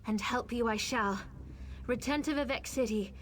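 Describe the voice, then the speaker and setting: An actress playing an earnest, devout young heroine in a fantasy video game, speaking with eager resolve. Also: female voice, on the high side, moderate pace, a little hiss or hum, close to an everyday voice. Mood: awed, eager.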